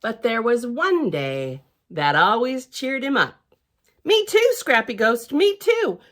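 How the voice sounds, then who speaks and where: Female children's storyteller: slightly high, hugely varied pitch, expressive read-aloud with chatty asides. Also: slow pace. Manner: theatrical and expressive, with gasps and hushed asides.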